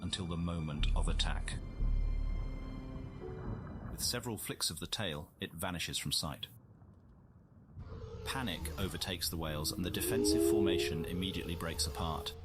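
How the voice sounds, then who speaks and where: A man playing a grave wildlife documentary narrator, reading a script in a measured, solemn way. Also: low-pitched, music in the background, lightly acted. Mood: measured, suspenseful.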